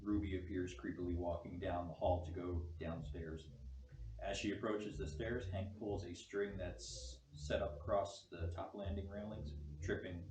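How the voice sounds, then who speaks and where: A man reading out screenplay stage directions as the narrator at a table read. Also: sits low. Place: echoey room at a group table read. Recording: distant mic, echoey noisy room.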